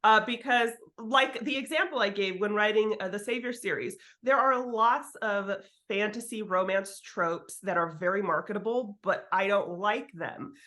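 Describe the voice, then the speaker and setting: A woman's voice: medium pitch, pushed and bright. A woman speaks as a guest author in a casual interview. She is candid and informal, explaining her advice conversationally, with a mid-range voice and an occasional curse.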